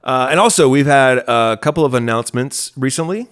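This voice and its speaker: Podcast host: American male, medium-pitched, casual and conversational in delivery. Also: fairly quick, fairly bright tone, smooth. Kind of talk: casual chatty podcast introduction.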